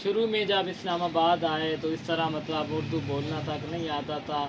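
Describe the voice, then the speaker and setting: A man's voice: on the high side, flat delivery, moderate pace, noisy background. A man from Punjab, Pakistan hosting an interview in a casual chat, speaking in an earnest, lecturing way with formal words and many fillers. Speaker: interviewer, channel host.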